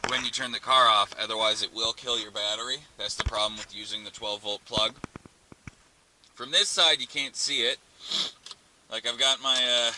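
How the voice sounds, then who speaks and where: A man talks through a hands-on job as a casual vlog host. His voice sits at an ordinary middle pitch, and his delivery is offhand and slangy. The amateur camera audio carries obvious noise and clicks. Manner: informal, offhand, mildly crude slang. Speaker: vlogger, DIY car channel host. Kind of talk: casual hands-on how-to narration.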